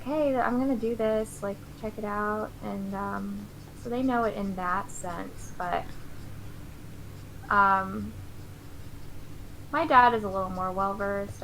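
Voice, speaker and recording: A woman's voice: a little high, sharp tone, a little hiss or hum, rough recording. A woman in her twenties chatting casually on her stream while drawing, with plenty of fillers. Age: 20s. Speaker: streamer host.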